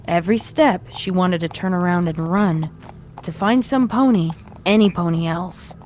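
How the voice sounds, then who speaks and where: A woman's voice: fairly low pitch, normal speed, darkish tone, a little hiss or hum. A woman reading a horror story as its narrator, in a dramatic scripted style. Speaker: fan fiction narrator. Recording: music behind the reading.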